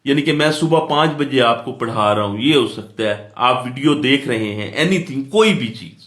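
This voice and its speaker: A man's voice: mid-range voice, expressive, moderate pace, a bit bright. A man with a Pakistani accent giving an explanatory lecture as a law teacher, in a didactic way, repeating points and answering his own questions. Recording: echoey room.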